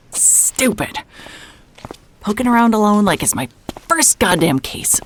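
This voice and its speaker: An actress playing a hard-bitten, wary private investigator, terse and sardonic, in a horror audio drama with noir-style narration. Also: female voice, mid-range voice, a little hiss or hum, exaggerated voice. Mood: uneasy, frustrated. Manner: muttering to self, wry, terse. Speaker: lead character and narrator.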